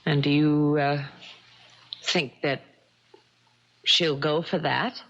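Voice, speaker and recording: An actress playing a cool, devious wife in a radio mystery, with a sly, sardonic and pointedly polite manner. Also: female voice, sits low, fairly even intonation, slow pace, fairly bright tone, full character voice. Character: cool, devious wife coolly scheming against her bigamist husband. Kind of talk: 1970s radio mystery acting. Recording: dull, lo-fi old radio broadcast.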